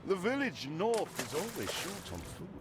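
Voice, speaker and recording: An actor voicing a loyal, scruffy drifter companion in a video game, grumbling and teasing in colloquial speech. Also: male voice, sits high, sing-song, normal speed. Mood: wry, teasing. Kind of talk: video game character acting. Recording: loud music underneath. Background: game music.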